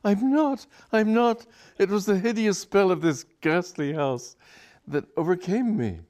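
Man playing a flustered young gentleman, stammering, high excited outbursts, storybook suitor. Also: measured pace. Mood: excited pleading.

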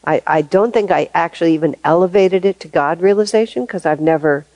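Older woman teacher, lowish mellow voice, thoughtful and measured, dry close sound. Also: no room sound, highs cut off. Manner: thoughtful, measured, with frequent restarts. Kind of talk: reflective spoken talk.

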